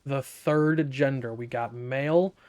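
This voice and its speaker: A man's voice: medium pitch, fairly even intonation, slow pace, a little mellow. A man giving casual, sceptical commentary as he plays through a video game, with wry asides. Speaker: gaming streamer.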